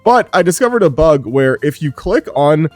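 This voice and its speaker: A man's voice: fairly high pitch, moderate pace, no echo, soft background music. Man chatting over gameplay, a bit sick. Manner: relaxed, rambling, frequent "uh" fillers. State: sick, strained low voice. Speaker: gaming YouTuber. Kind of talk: casual gameplay commentary.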